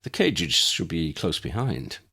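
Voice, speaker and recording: A man as a precise, formal scholarly gentleman. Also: sits low, big pitch swings, unhurried, creaky, husky, exaggerated voice. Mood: questioning. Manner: precise, formal, mildly pedantic.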